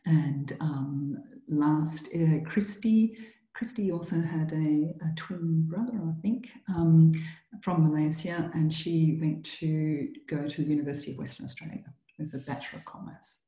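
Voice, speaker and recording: A woman's voice: low-pitched, measured pace, warm tone, reverberant, poor audio. A woman from a school giving an informal, chatty talk to prospective students, explaining things with frequent ums and restarts. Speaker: school staff presenting to students.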